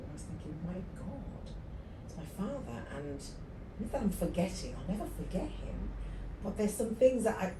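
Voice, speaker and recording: A middle-aged woman with a deep, low voice talks casually with a co-host, telling stories and acting out her thoughts with lively energy. The recording has a roomy echo and clear background noise. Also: distant mic. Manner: animated storyteller, acts out her own thoughts. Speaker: podcast co-host. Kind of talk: casual conversation. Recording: echoey room with noticeable noise.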